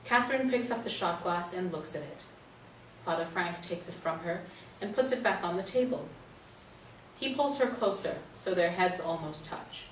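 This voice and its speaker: A woman's voice: lowish voice, expressive, normal speed. A woman narrator reading stage directions in a scripted play reading. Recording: roomy stage, distant mic, faint noise.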